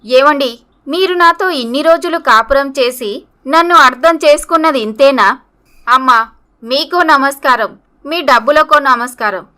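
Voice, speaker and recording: Woman playing a high-pitched, defiant loyal daughter. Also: a little slow, sharp tone. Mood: hurt, defiant.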